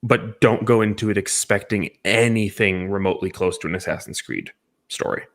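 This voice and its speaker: A man's voice: fairly low pitch, normal speed, gravelly, a bit bright. A man co-hosting a casual film discussion, giving emphatic opinions and repeating points for stress. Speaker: podcast co-host reviewing films.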